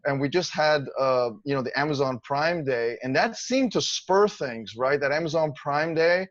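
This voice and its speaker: A man's voice: a little high, animated, moderate pace, no echo, muffled recording. A man in his fifties, a guest on a casual video-call interview, telling his story in a chatty, grateful way. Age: 50s. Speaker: interview guest, online seller.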